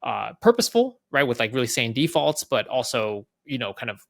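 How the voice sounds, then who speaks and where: A man's voice: mid-range voice, sing-song, fairly quick, vocal fry, dry recording, clean studio sound. A man giving casual interview explanations as a guest, thinking aloud and often checking in with "right?". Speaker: head of product, interview guest.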